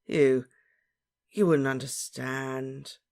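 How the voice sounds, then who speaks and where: A man voicing a dazed, lovesick teenage boy wizard in a breathy, hoarse voice for a storybook. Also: fairly high pitch, expressive, heavily acted. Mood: sullen, miserable. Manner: slow, dreamy, drawn-out delivery.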